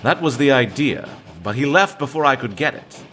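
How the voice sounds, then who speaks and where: An actor playing a polite, hesitant dining car attendant in a comedic audio drama. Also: male voice, mid-range voice, animated, moderate pace, fairly bright tone, soft background music, close to an everyday voice. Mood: cautious, obliging. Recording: noticeable background noise, train ambience.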